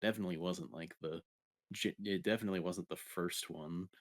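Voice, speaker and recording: Low-voiced young man in casual podcast chat. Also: steady tone, a little fast, dry recording, studio quality. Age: young adult. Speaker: podcast co-host.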